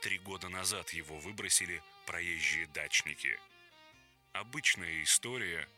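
Male audiobook narrator: very low, bright tone, calm storytelling, soft music behind. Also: a little slow. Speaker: audiobook reader.

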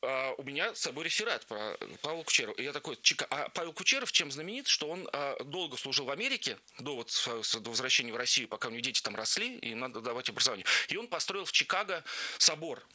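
A man's voice: mid-range voice, sing-song, fast talker, sharp tone. A man being interviewed on the radio as a guest, telling long stories loosely, with many fillers and restarts. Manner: rambling anecdotes with many fillers. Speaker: guest, producer of Orthodox projects.

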